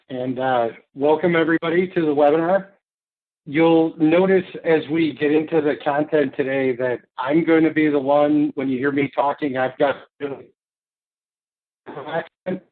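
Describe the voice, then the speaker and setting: A man presenting a webinar in a loose, conversational way, with fillers along the way. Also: fairly high pitch. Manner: talkative, loose, with fillers and laughs. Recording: tinny phone-like line.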